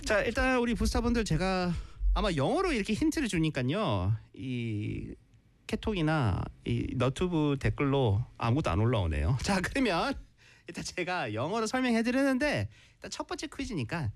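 A man co-hosting a live stream, speaking Korean and switching into slow, clear English to explain things to viewers. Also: high voice, big pitch swings, dry recording. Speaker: co-host teaching English.

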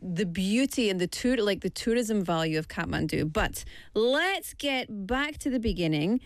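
A woman's voice: mid-range voice, lively intonation, moderate pace, no room sound. A young woman from the UK hosting a studio talk show, upbeat and chatty. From United Kingdom. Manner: upbeat, chatty, self-deprecating. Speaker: radio show host. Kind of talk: casual interview chat.

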